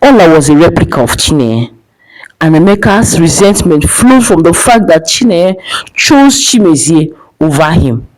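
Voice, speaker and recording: A woman's voice: on the low side, sing-song, normal speed, a little mellow, rough recording. A woman narrating a dramatic folktale as a storyteller, in a scripted style.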